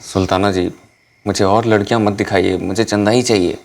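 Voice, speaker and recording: Low-voiced lovesick truck driver. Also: male voice, steady tone, fairly quick, vocal fry, far from the mic. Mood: insistent. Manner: pleading, blunt, probing. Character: lovesick young male truck driver, earnest and insistent. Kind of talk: TV crime drama acting.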